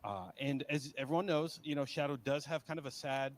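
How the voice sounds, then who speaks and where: A man interpreting at a panel, explaining points in a measured conversational way. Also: on the high side, steady tone, a little hiss or hum.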